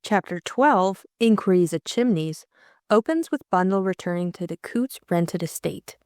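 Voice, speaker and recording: A woman's voice: on the low side, animated, moderate pace, darkish tone, no echo, clean studio sound. A woman reading scripted story narration as an audiobook narrator.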